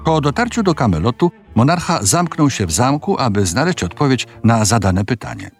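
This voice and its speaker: A male narrator tells a fairy tale with very varied intonation and a medium pitch. His delivery is measured and dramatic, with soft music behind the voice. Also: big pitch swings. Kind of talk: scripted fairy-tale storytelling.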